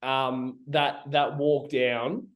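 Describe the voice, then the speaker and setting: A young man with an Australian accent and a croaky voice, hosting a casual chat in an emotional way, often stumbling and restarting his sentences. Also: mid-range voice, flat delivery, extremely slow. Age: young adult. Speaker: podcast host.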